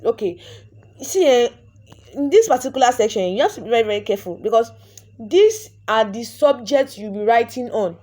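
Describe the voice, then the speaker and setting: A woman's voice: a little high, dark tone. A young Nigerian woman, a native Yoruba speaker, talking through an online sign-up form step by step in a chatty way, often repeating words and restarting. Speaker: vlogger. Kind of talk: screen-recorded tutorial walkthrough.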